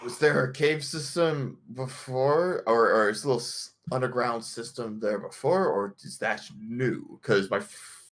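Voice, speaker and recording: A man improvising in-character tabletop roleplay, speaking in a halting, stammering and rather formal way. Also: medium pitch.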